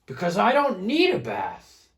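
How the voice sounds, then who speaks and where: A man's voice: high-pitched, huge pitch swings, unhurried, pitched above the performer's own voice, exaggerated voice. A man voicing a big, grumpy, stubborn male bear from a storybook, speaking emphatically. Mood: stubborn, defiant. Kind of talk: storybook read-aloud character voice.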